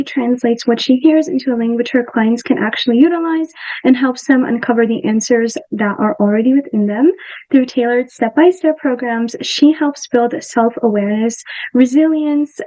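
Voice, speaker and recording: A woman's voice: on the high side, poor audio. A young woman hosting a podcast, reading a guest introduction and asking questions in an enthusiastic, friendly way. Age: young adult. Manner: warm, enthusiastic, with um fillers. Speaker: podcast host.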